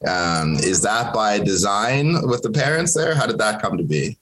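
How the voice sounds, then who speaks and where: A young man from Kenya whose first language is Swahili, hosting an interview in a relaxed, chatty way with frequent fillers and restarts. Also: on the low side, moderate pace. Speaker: podcast host. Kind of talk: conversational interview.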